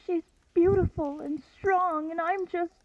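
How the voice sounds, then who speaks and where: A woman's voice: very high-pitched, steady tone, unhurried, dry recording. A woman playing an earnest, anxious young scientist heroine in a melodramatic radio adventure serial. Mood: uneasy. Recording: dull, distant dry recording.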